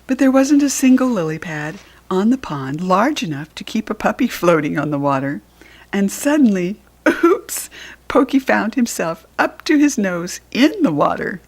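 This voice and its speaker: A woman with an American accent narrates a children's storybook. Her pitch moves in big, lively swings, and her voice has a slightly creaky, husky edge. She stresses words deliberately and expressively. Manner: animated, deliberate storytelling emphasis.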